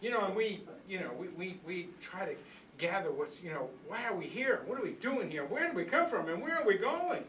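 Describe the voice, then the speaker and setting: In an echoey room, a little far from the mic, an older man plays an old rooster telling a comic monologue. The voice is high for a man, and the delivery is folksy, earnest and rambling, like someone proudly sharing a philosophy of life. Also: very animated, brisk, fairly bright tone. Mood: proud, reflective. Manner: folksy, rambling, conversational storytelling with repeated phrases. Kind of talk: comic monologue performed live for an audience. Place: indoor workshop room before a group. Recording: echoey room, distant mic, background noise.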